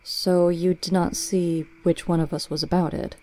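A woman voicing a gruff, watchful male dog familiar in a storybook reading, terse and deliberate. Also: lowish voice, level tone, darkish tone, natural voice. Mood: watchful, matter-of-fact.

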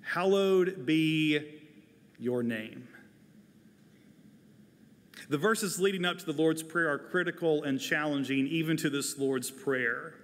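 Male pastor, forties, sermon delivery, slightly high pitch, earnest, deliberate pauses, long church reverb. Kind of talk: sermon, prepared and measured delivery. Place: large church sanctuary, indoors, at a pulpit. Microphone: lapel mic. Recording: long hall reverb of a large sanctuary.

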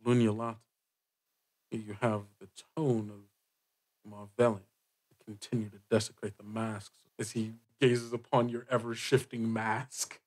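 A man's voice: lowish voice, flat delivery, a little mellow, poor audio. A man voicing a proud, guarded church dignitary, speaking formally and coldly in a tabletop roleplay. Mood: cool, formal. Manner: formal, haughty, deliberate.

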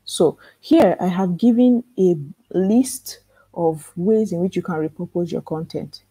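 A soft-spoken woman with a calm voice teaching a live online workshop for authors, earnest and repetitive, asking rhetorical questions. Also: lowish voice, measured pace, breathy edge, no room sound, poor audio. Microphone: computer mic in a live webinar.

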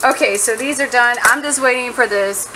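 A woman's voice: a little high, moderate pace. A woman chatting casually and playfully as she cooks at home, thinking aloud in an informal way. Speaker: home cooking vlogger. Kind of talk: casual chat while cooking. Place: home kitchen, indoors. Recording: noisy kitchen with food sizzling.

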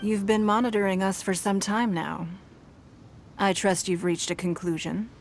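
A woman's voice: medium pitch, fairly even intonation, moderate pace, a little hiss or hum, natural voice. A woman playing a composed, confident noble ruler in a video game, speaking formally and with authority. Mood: firm, confident. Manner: poised, formal, commanding. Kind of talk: scripted video game voice acting.

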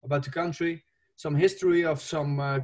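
A man in his thirties with a Dutch accent, a football coach giving an online presentation from an attic room over a laptop mic, halting and searching for English words. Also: fairly high pitch, fairly even intonation.

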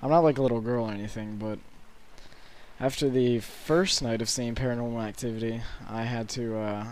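An eighteen-year-old man with a hoarse, sore-throated voice, rambling through casual late-night gameplay commentary from his bedroom with lots of fillers. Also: mid-range voice, fairly even intonation, a little mellow, a little hiss or hum. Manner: rambling, halting with many fillers. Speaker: gaming commentator.

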